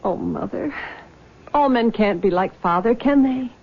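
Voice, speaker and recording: Lo-fi radio drama; conflicted young nurse, lively pitch, breathy, earnest. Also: female voice, unhurried. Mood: wistful, unsure. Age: young adult. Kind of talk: old-time radio drama acting. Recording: dull, lo-fi radio recording.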